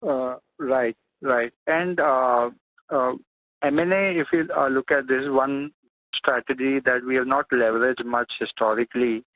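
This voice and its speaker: A man's voice: mid-range voice, steady tone, a little slow, no room sound. A man working as a financial analyst, putting questions on an earnings call in hesitant, piecemeal phrases. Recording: dry narrowband phone line.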